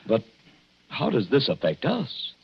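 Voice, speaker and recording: An actor playing an anxious young husband, protective and skeptical, with jittery, emphatic reassurances in 1940s radio drama style. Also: male voice, mid-range voice, huge pitch swings, measured pace, gravelly, slightly rough, heavily acted. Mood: worried, disbelieving. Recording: dull 1940s radio recording.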